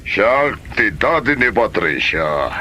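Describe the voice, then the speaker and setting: Man playing a bright-voiced, animated boss father. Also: lowish voice, slow, slight background noise, heavily acted. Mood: brisk, commanding. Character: protective, probing father and company boss. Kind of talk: Ilocano comedy drama acting.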